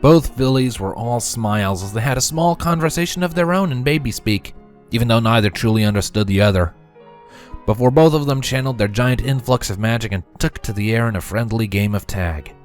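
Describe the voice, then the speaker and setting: A man narrating a story from a script in an expressive reading style. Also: medium pitch, fairly quick. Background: music.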